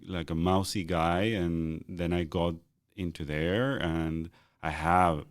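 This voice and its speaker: Man, very deep booming bass, reflective, halting restarts, dry close sound. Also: steady tone, clean tone, dry recording. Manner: thoughtful, halting with repeated starts. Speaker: podcast co-host. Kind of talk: reflective conversational chat.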